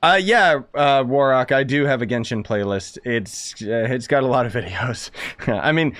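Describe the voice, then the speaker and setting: A man's voice: medium pitch, expressive, normal speed, fairly bright tone, no echo. A man chatting informally to viewers as a game streamer, rambling and thinking aloud. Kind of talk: casual live-stream commentary, chatting with viewers.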